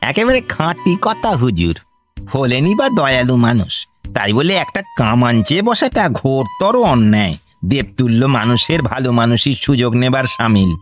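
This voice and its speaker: An actor playing a wily village grain trader who flatters and pleads long-windedly in a radio comedy. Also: male voice, mid-range voice, normal speed, soft background music, close to an everyday voice. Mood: pleading, wheedling. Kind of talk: Bengali radio comedy drama acting.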